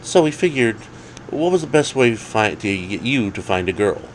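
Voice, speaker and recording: An eager male friend , acted out by a man reading a story, pitched well above a deep natural voice. He coaxes like a pushy wingman, stumbling a little as he persuades, with clear background noise. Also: big pitch swings, normal speed, low-quality recording. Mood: coaxing.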